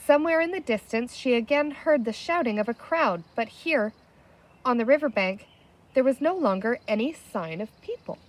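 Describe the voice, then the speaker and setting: A woman's voice: on the high side, animated, normal speed, poor audio. A woman reading a children's novel aloud outdoors, telling the story expressively and voicing the dialogue. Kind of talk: expressive read-aloud narration with character dialogue. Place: outdoors in the open air. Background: birdsong, wind chimes, a barking dog.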